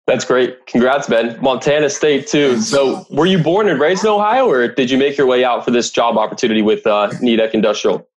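A man's voice: medium pitch, brisk, few pauses. Male podcast host, conversational interviewer. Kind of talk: interview, prepared introductions.

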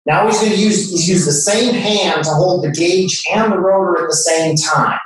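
A man's voice: high voice, moderate pace. A man giving a technical demonstration as a product expert, explaining things step by step. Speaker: product expert from an encoder manufacturer. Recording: very echoey room.

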